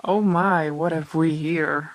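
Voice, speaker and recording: A woman's voice: low voice, fairly even intonation, takes it slowly, rough recording. A woman playing a loyal, protective teenage boyfriend in an audio drama. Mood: teasing.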